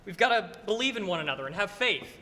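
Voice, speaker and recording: Young guy doing earnest flustered small town banker in a radio play, stammery and pleading, high voice, big echoey hall. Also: big pitch swings, fast, a crowd in the background. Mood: earnest, rallying. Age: teens or young adult. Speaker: high school student actor. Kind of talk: stage radio play acting. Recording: reverberant hall, noisy stage recording.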